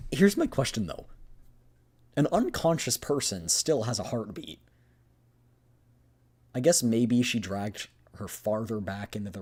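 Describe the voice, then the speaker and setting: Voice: mid-range voice, darkish tone, slightly rough. A streamer doing a voiced readthrough of a game story, narrating the script and adding casual commentary.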